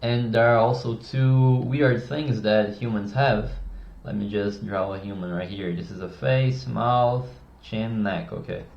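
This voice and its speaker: A man's voice: lowish voice, steady tone, unhurried, creaky. A man teaching how to use tone of voice, with a casual, rambling manner, and a habit of swearing. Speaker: communication coach. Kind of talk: casual explainer lesson. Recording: roomy, dull lo-fi sound.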